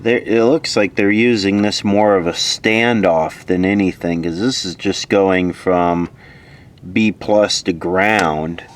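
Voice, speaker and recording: A man's voice: sits low, fairly even intonation, slow. A man narrating a radio repair at his workbench in a casual way, explaining technical details as he thinks aloud.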